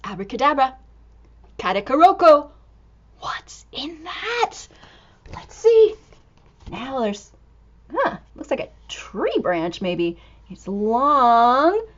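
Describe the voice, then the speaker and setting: A woman reading a picture book aloud to young children in an expressive, exclaiming way, drawing out words and dropping into hushed asides. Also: sits high, muffled recording.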